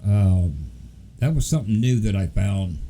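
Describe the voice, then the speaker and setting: Mellow, rambling male livestream host. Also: fairly low pitch, lively intonation, unhurried, slight background noise. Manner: rambling, easygoing, many fillers. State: recovering from flu. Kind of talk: casual livestream chat with viewers.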